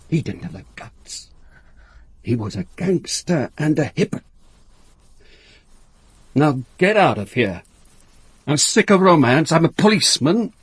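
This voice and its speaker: An actor playing a blunt, world-weary police inspector in a radio drama, terse and commanding, both in dialogue and first-person narration. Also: male voice, a little high, big pitch swings, measured pace, long pauses, slightly rough, dry recording, heavily acted. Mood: grave, bitter, then angry. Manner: terse, commanding, hard-boiled.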